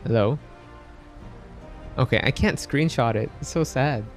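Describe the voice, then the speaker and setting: A man's voice: mid-range voice, unhurried. A man doing casual live game commentary with slangy, exclamatory reactions. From Philippines. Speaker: live streamer playing a gacha game. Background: game music.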